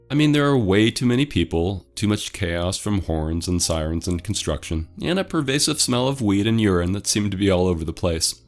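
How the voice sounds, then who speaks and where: Low-voiced man hosting an investing show. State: slightly off voice from a cold. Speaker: dividend investing channel host. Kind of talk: scripted explainer monologue.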